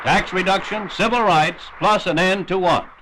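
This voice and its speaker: High-pitched American newsreel announcer man. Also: normal speed, bright, forceful tone, a little hiss or hum, low-quality recording. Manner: formal, declamatory announcer delivery. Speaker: newsreel narrator. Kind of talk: 1960s newsreel scripted narration. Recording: 1960s newsreel soundtrack with music. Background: newsreel music.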